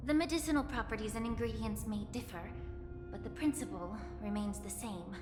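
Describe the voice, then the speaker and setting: A woman voicing a composed, scholarly young alchemist in a video game, explaining things in a measured, formal way. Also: mid-range voice, natural voice. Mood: composed, analytical. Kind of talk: scripted video game voice acting. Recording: echoey reverb, music behind.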